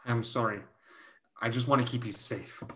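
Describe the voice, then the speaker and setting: A man acting a protective, lovelorn brother figure in a staged drama reading over a video call, speaking earnestly and haltingly. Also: medium pitch, steady tone, natural voice. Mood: apologetic. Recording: clear background noise on video call.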